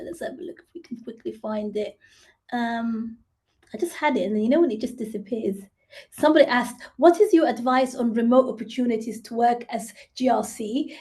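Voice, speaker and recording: A woman moderating an online panel, reading out audience questions in a brisk, upbeat way and sometimes fumbling mid-question. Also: a little high, warm tone. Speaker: interviewer and moderator.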